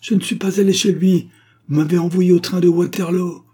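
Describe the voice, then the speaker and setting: A man's voice: mid-range voice, animated, moderate pace, vocal fry, a little mellow, husky, pitched above the performer's own voice, heavily acted. A man voicing an accused servant woman in a story, vehement and pleading in distress.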